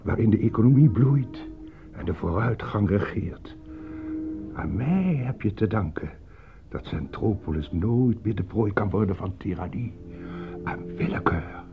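Radio play villain: mad scientist, gravelly, unhurried, pompously proud, music behind. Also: male voice, lowish voice, expressive. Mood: proud, exalted. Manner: grandiloquent, lecturing, self-satisfied. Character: grandiose male mad scientist, proud of his life's work. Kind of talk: radio drama acting. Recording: narrowband radio play recording with loud music.

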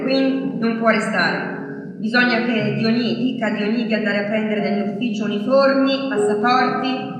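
An actress plays an anguished Frenchwoman delivering her war diary as a stage monologue. She is grave and deliberate, leaving long pauses between phrases. The voice comes from a distance in a long, reverberant hall, with music clearly playing behind it. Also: mid-range voice, close to an everyday voice. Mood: urgent, solemn. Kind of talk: dramatic theatrical monologue.

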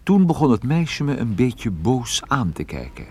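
An actor playing a wry, cool private detective in a radio drama, speaking and narrating with dry deadpan delivery. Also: male voice, medium pitch, measured pace, husky. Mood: sardonic narration. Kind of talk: radio drama acting with first-person narration.

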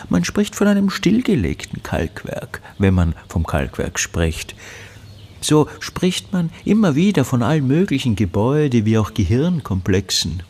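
An actor playing an obsessive, embittered male recluse in a radio drama monologue, brooding and insistent in halting phrases, with a breathy voice. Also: medium pitch, a little mellow, exaggerated voice. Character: obsessive, embittered recluse, a man devoted to his study.

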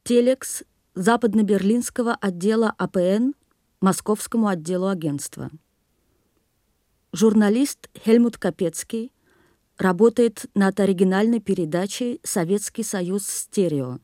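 A woman plays a Russian narrator reading agency telexes for a radio play. Her voice is mellow and dry, with a measured, official delivery. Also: mid-range voice, dry recording, natural voice. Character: formal female news agency telex reader. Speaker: narrator of explanatory interludes. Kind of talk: formal scripted telex reading in a radio documentary drama.

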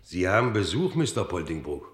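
An actor playing a gruff, businesslike prison warder with a curt official manner in a comic radio crime drama. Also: male voice, sits low, big pitch swings, a little fast. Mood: matter-of-fact. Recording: echoey, reverberant vintage radio drama recording.

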